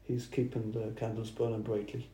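A man, a church planter, talking over dinner in a thoughtful, halting way. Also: on the low side, completely flat delivery, warm tone. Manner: halting, thoughtful, restarts phrases. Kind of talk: casual dinner table chat. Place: dining room. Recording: roomy with faint noise.

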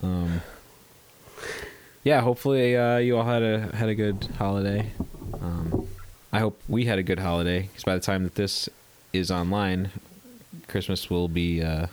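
A man's voice: sits low, steady tone, moderate pace, a little mellow. A young man co-hosting a casual movie podcast, stuffy and scratchy from a cold, rambling with many fillers. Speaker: podcast co-host.

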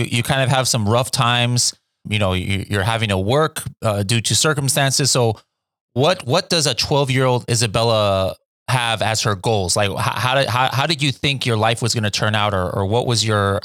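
A man hosting a conversational podcast interview in an informal, animated way. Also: medium pitch, normal speed, dry recording, studio quality. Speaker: podcast host.

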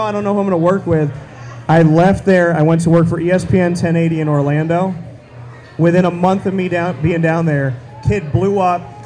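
A man hosting a casual chat, easygoing and quick to agree. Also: sits high, a little mellow. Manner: easygoing, frequent agreeing interjections. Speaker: host.

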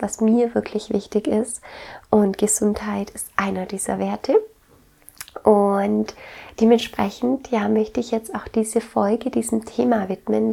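Slow-speaking woman with a stuffy nose. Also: mid-range voice, creaky, dark tone. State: congested with a cold, stuffy nose. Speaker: podcast host. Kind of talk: reflective wellness podcast monologue.

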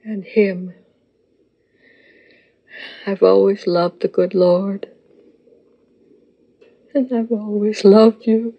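An actress playing a dying, devout old grandmother making a guilt-ridden confession in a TV drama, weak, halting and solemn. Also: mid-range voice, little pitch movement, muffled recording, exaggerated voice. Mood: grave, confessing. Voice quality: weak, breathy, husky. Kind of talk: television soap drama acting. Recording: soft music behind the dialogue.

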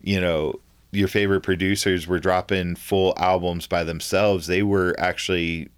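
A man's voice: deep voice, fairly even intonation, normal speed, clear, resonant tone, no echo. A man from Southern California in casual chat, telling stories in a laid-back way with slang.

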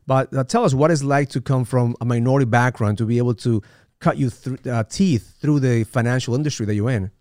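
A man from Ecuador hosting a podcast interview, animated and often repeating words as he starts sentences. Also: medium pitch, brisk, no room sound, studio quality. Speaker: podcast host. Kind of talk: casual interview.

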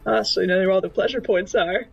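A woman's voice: medium pitch, steady tone, fast talker, creaky, slightly rough, highs cut off, faint music behind. A woman playing a tabletop game, chatting casually and describing her moves in an informal, playful way.

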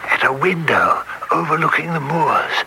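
Proud young-blooded baronet type: stubborn, commanding, swinging intonation in radio drama style, noisy vintage recording. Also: male voice, a little high, very animated, normal speed, vocal fry, sharp tone, exaggerated voice. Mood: curious, alert. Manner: commanding, impatient. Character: proud, stubborn, headstrong lord of the manor. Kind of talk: old-time radio drama acting.

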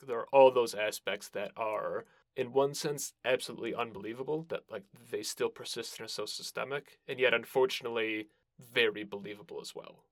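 A man hosting an interview podcast, talking casually with thoughtful, measured phrasing. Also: medium pitch, no echo.

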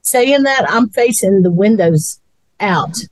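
Woman thinking out loud, slow, repeats words, dry close sound. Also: medium pitch, animated, no echo, low-quality recording. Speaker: co-host. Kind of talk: casual chat.

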